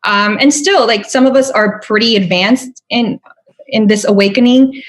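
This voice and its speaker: A woman's voice: on the high side, moderate pace, low-quality recording. A young adult woman from Venezuela, a podcast guest chatting earnestly with many fillers and restarts. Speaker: podcast guest, spiritual guide. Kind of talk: casual interview chat.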